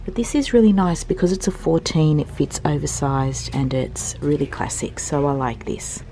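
A woman's voice: deep voice, normal speed, slight background noise. A young woman, chatting casually as she tries on thrifted clothes in a small fitting room, now and then dropping to a whisper. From Australia. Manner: chatty, often drops to a soft whisper. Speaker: thrift vlogger. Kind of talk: casual try-on chat. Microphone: handheld compact camera filming in a mirror.

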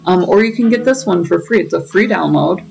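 Creaky-voiced woman doing game commentary. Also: on the low side, lively intonation, fairly quick, a little mellow. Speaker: gaming channel host. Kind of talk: casual game playthrough commentary. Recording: game music behind the voice.

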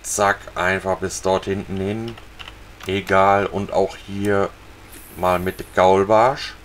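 A man casually talking viewers through a fishing video game, relaxed and chatty. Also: low voice, slow, a little hiss or hum. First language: German. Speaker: gaming channel host. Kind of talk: casual let's-play commentary.

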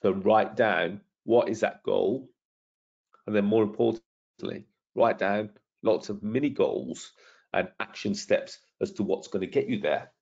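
A middle-aged man with a croaky, gravelly voice, presenting a property investment webinar in a persuasive, self-promoting way and putting questions to the audience. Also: on the low side, unhurried, a little mellow. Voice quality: gravelly, husky. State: croaky from lingering illness. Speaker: property trainer hosting a webinar. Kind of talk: webinar presentation over slides. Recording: dull lo-fi webinar audio.

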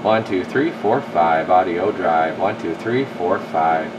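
A man's voice: low-pitched, animated, takes it slowly, dull audio, background noise. A man giving a casual hands-on demonstration as a repair technician, thinking aloud in informal workbench slang in an indoor workshop, recorded on a handheld camera. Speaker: radio repair technician presenting his work. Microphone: handheld camera, speaker behind it.

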